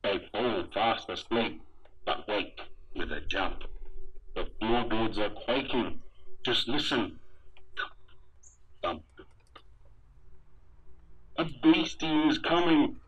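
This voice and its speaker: A man playing a helmeted sci-fi trooper, reading a rhyming children's storybook aloud through a radio helmet filter in a deliberate, sing-song way. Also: fairly low pitch, animated, fairly bright tone, a little hiss or hum. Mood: animated storytelling. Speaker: storybook reader. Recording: dull, muffled radio-like sound.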